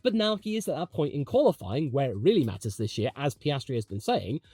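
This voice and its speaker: A man's voice: medium pitch, big pitch swings, brisk, a little mellow, husky, no echo. A man delivering opinionated motorsport video commentary in an emphatic, conversational way. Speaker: motorsport commentator, channel host.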